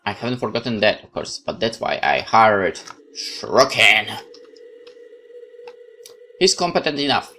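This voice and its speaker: A man's voice: fairly low pitch, a bit bright, a little hiss or hum. A man reading a horror visual novel aloud as a let's play host, dramatic narration with casual asides.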